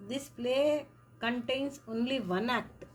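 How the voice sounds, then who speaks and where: A woman teaching a lesson on a play, talking slowly and repeating words and phrases as she writes. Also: fairly high pitch, faint music behind. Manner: slow, repeats words and phrases while writing. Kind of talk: classroom lesson explaining a play.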